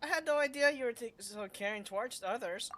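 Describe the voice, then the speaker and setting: A woman's voice: on the high side, fairly quick, a bit bright, dry recording. A woman game streamer giving casual gameplay commentary, with wry, teasing asides.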